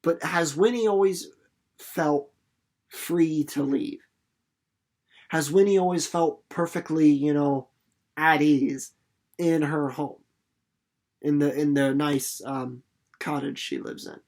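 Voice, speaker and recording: A man giving casual commentary on a book as a teacher, informal and a little halting as he thinks aloud. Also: a little high, a little mellow. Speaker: reader and teacher. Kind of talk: storybook narration and casual literary commentary for students.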